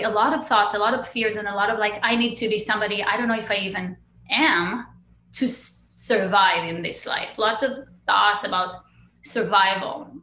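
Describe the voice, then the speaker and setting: A woman's voice: mid-range voice, bright, forceful tone, roomy sound, low-quality recording. A woman from Israel, a guest in an interview, telling her life story in an open, reflective way with many fillers and restarts.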